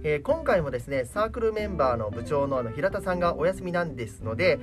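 A woman's voice: low voice, very animated, quick pace. A woman co-hosting a casual voice actors' radio show, polite, with slightly loose articulation. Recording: background music under the voice. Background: music.

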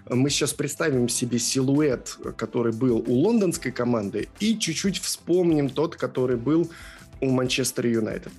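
A man's voice: mid-range voice, darkish tone. A man co-hosting a casual football podcast, chatty and playful as he sets up topics and teases his co-host.

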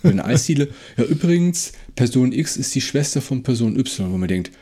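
A man's voice: mid-range voice, sing-song, fairly quick, mellow. A man co-hosting a podcast, giving his opinions conversationally and repeating short words of agreement. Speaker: podcast host. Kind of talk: casual discussion and critique of an audio drama.

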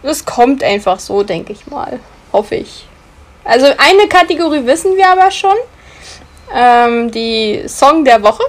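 A relaxed woman in her twenties from near Munich co-hosts a podcast over a video call, giggling and chiming in often. She talks slowly in a fairly high voice. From Munich area, Germany. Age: 20s. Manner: relaxed, giggly, adds short remarks. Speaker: podcast co-host. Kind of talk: casual chat.

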